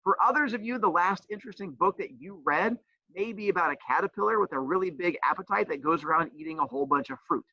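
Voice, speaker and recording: A man's voice: high voice, expressive, quick pace, vocal fry, highs cut off. A man teacher who also coaches, explaining a homework assignment with playful jokes and teasing. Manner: says he has a loud voice.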